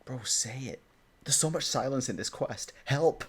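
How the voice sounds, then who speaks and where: A man's voice: mid-range voice, lively intonation, moderate pace, fairly bright tone, breathy edge. A man hosting a gameplay reaction video, talking casually with joking asides, exclamations and swearing. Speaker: gaming video host. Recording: faint noise, game audio behind.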